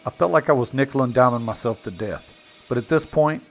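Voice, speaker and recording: Guy doing a laid-back diy build voiceover, mid pitch, kinda self-deprecating, tool noise behind him. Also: brisk. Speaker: woodworking video maker and narrator. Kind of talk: casual first-person build narration.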